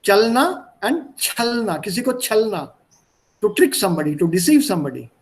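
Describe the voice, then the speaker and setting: A man's voice: sits high, very animated, fast, rough recording. A man with an Indian accent teaching an online language lesson in a didactic way, often repeating and demonstrating points.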